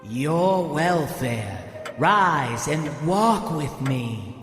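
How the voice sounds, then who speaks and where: Echoing, very slow spirit guide played by a man. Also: a little high, very animated, fairly bright tone, heavily acted. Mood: solemn. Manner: measured, commanding. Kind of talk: theatrical audio drama acting. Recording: long cavernous reverb, music behind.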